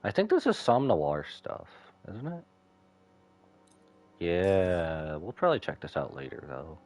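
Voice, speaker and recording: A man's voice: sits low, sing-song, unhurried, darkish tone. A man casually commentating on a livestream as a game streamer, rambling and loosely thinking aloud. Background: music.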